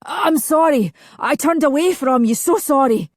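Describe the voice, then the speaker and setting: A woman voicing a desperate young man who is shouting and pleading in a nightmare, from a storybook read aloud. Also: sits high, expressive, unhurried, no room sound, studio quality, pitched above the performer's own voice.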